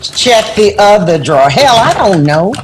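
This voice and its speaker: A woman's voice: medium pitch, sing-song, measured pace, noisy background. An actress playing a distracted, wry middle-aged mother in an audio drama. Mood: casual. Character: distracted, wry mother of grown children, movie buff.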